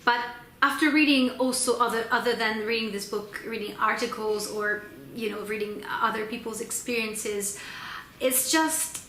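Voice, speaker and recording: Young woman, Italian accent, slightly high and bright, talks hesitantly; echoey room recording. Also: fairly even intonation, moderate pace. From Italy. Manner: chatty, hesitant with many ums and restarts. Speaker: book channel host. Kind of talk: casual book wrap-up vlog. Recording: echoey room with faint noise.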